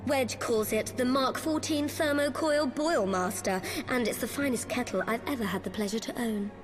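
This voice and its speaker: A spirited, prim lady from a fantasy game, played by a woman, speaks in a fairly high voice. She is polite and proud, with prominent music behind her. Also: normal speed, lightly acted. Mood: proudly defensive.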